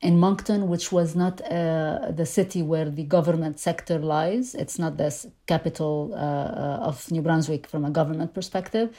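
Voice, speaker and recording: A woman's voice: sits low, fairly quick, few pauses, dark tone. A middle-aged woman whose first language is Arabic, answering interview questions thoughtfully with frequent fillers and restarts. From Lebanon. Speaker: interview guest, immigrant. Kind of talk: conversational interview.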